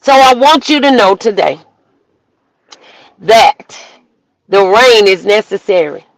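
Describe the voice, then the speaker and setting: A woman minister hosting a livestream, preaching outdoors in the rain with repetition and rising shouts. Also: mid-range voice, expressive, takes it slowly, no echo, rough recording. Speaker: minister and show host. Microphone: phone livestream.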